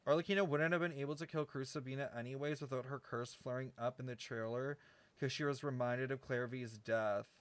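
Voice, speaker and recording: A man's voice: medium pitch, fairly even intonation, brisk, dry recording. A man giving casual game stream commentary, rambling as he thinks aloud and swears freely.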